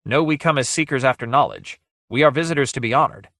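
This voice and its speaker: A man's voice: medium pitch, fast, fairly bright tone, no room sound, clean studio sound, voice pushed up, lightly acted. A man acting a bold, defiant male adventurer in a fantasy story, speaking in a proud, formal, archaic and declamatory way. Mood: challenging, commanding.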